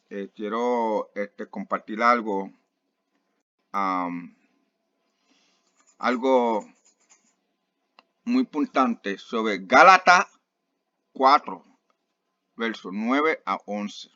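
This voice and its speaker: Slow-talking man with many fillers. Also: mid-range voice, pushed and bright, no echo, lo-fi sound. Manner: halting, with many fillers and restarts. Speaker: online Bible teacher. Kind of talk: casual video commentary.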